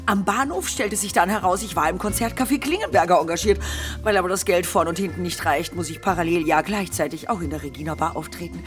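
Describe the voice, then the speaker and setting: An actress playing a genteel variety artiste in a satirical radio play, chatting in an affected yet matter-of-fact way. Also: female voice, fairly low pitch, quick pace, soft background music, lightly acted. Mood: chatty, pleased.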